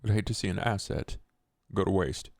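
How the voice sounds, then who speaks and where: A man playing a stoic, cold male vampire accountant in a tabletop roleplay, with formal, terse, deadpan delivery. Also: sits low, moderate pace, vocal fry, no room sound, clean studio sound. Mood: cool, calculating. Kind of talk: in-character tabletop roleplay acting.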